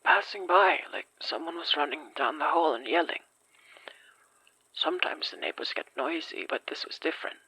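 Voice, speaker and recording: A woman playing a shaken, hesitant fire survivor in an audio horror drama, hoarse and breathy, speaking haltingly and apologetically. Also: deep voice, pushed and bright, no echo, in a whisper, full character voice, rough recording. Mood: uneasy recollection.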